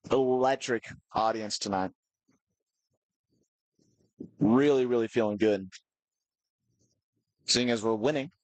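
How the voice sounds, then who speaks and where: A man gives easygoing commentary on a school basketball game. He rambles and pauses on fillers. His voice sits mid-pitch and sounds dry and close. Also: no echo, poor audio. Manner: relaxed, rambling, hesitant fillers. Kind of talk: casual sports commentary.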